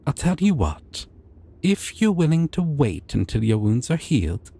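A man playing a shy, dorky, kind dragon in an ASMR fantasy roleplay, speaking softly and hesitantly with tender, rambling care. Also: on the high side, very animated, a little slow, vocal fry, breathy edge, heavily acted. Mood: gentle, reassuring. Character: shy, gentle, dorky adult male dragon caring for a stranger.

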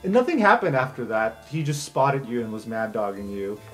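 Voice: mid-range voice, big pitch swings, fairly quick, a bit bright. A game master narrating an improvised tabletop adventure in an informal, conversational way. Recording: music bed under the voice. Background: background music.

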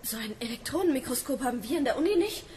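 Radio play: jittery, amazed student, very animated and high. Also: female voice, fast talker. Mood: awestruck. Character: nervous, awestruck female student snooping around. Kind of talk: German radio play acting. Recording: noisy ambience behind.

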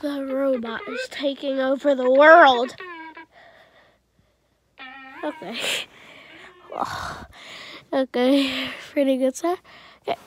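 Slow-talking stuffy-voiced woman reviewer. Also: high voice, fairly even intonation. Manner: rambling, chatty, clears throat often. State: stuffy from a cold. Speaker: channel host reviewing a music game. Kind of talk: casual game review.

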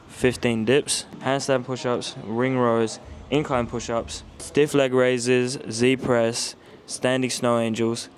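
A man's voice: medium pitch, slight background noise. A young man chatting casually in a gym vlog, listing a workout and bantering with confidence and a stubborn streak. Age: young adult. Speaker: vlogger. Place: indoor gym lounge. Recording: music playing underneath.

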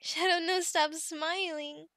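A woman playing a sweet, shy young adult female goblin in an ASMR roleplay, speaking in halting broken phrases and calling herself by name. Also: very high voice, fairly even intonation, bright, forceful tone, dry recording, clean studio sound, heavily acted. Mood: proud, affectionate. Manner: halting broken speech, refers to herself in third person, giggly.

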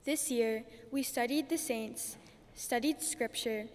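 A woman's voice: a little high, slow pace, slight background noise. A teenage girl, a graduating student, reading a prepared ceremony speech at a podium microphone. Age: teenager, about 14. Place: large church, indoors. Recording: church hall reverb, podium microphone.

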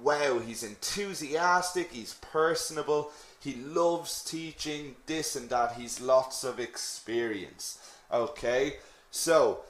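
A man's voice: medium pitch, echoey room. An Irish man teaching a lesson on CV writing, chatting with the class and checking in with frequent "okay?" questions. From Ireland. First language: English. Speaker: English teacher.

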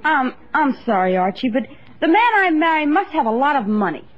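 A coy woman who wants a rich husband, in 1950s radio comedy style. Her voice is high and very animated on a muffled vintage broadcast. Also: a little fast. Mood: coy hesitation. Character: coy, frankly money-minded woman being courted. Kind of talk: 1950s radio sitcom acting.

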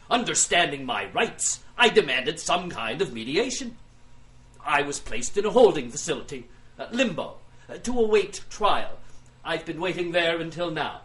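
A man's voice: high voice, a bit bright, exaggerated voice. An actor playing a pompous, aggrieved industrialist who pleads his own case with theatrical, grandiloquent delivery in an audio drama.

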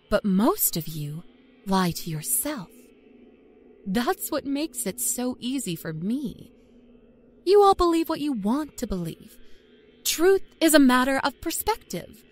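Woman playing a smug, sly small-town liar, animated. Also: a little high. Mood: gloating, taunting. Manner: folksy, confiding, taunting. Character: smug, sly middle-aged small-town woman, murderous fake psychic. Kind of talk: dramatic first-person horror story narration.

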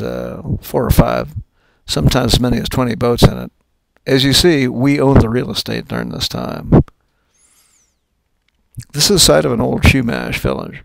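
An older man, a sailing captain, narrating his own trip video in a relaxed, folksy way with some fillers. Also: mid-range voice, creaky, slight background noise, poor audio.

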